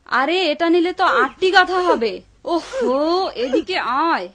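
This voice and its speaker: High-pitched nagging greedy wife. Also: female voice, animated, slow, fairly bright tone, heavily acted. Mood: bossy. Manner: pushy, goading. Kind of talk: dubbed cartoon acting.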